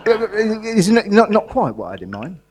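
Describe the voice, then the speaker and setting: A man's voice: sits high, wildly swooping pitch, a little fast, darkish tone, husky, full character voice. A man playing a friendly, dotty long-term prisoner on a comedy stage, stammering and rambling in a flustered, giggly way. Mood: flustered, eager. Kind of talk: live comedy theatre acting. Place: live performance in a museum. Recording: noisy live stage recording.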